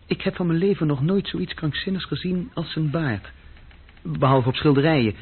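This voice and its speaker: A man's voice: a little high, normal speed, breathy edge. An actor playing a restless young man telling of his adventures in a conversational radio-drama style. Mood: earnest, confiding. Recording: dull, lo-fi old radio recording.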